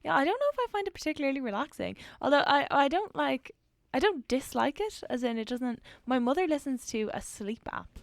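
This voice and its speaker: A young woman from Dublin with a soft south Dublin accent, chatting as a student radio host, laughing easily and saying "yeah" a lot. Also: sits high, big pitch swings, fairly quick, creaky, dry recording. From Dublin, Ireland. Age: young adult. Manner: chatty, laughs often, many "yeah"s and restarts. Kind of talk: casual chat.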